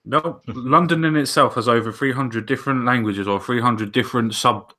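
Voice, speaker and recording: A man's voice: medium pitch, normal speed, sharp tone. A man talking in a casual podcast discussion, opinionated and emphatic.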